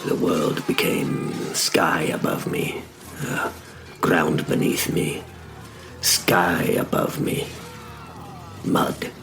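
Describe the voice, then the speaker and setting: A man narrating a scripted first-person drama in deliberate short phrases with dramatic pauses. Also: deep voice, vocal fry. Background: music.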